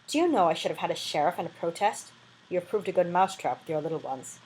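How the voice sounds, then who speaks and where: A woman's voice: on the low side, lively intonation, quick pace, darkish tone, a little hiss or hum, close to an everyday voice, rough recording. A scheming, grasping male innkeeper from a classic novel, voiced by a woman reading aloud. He talks in a curt, businesslike way, always calculating.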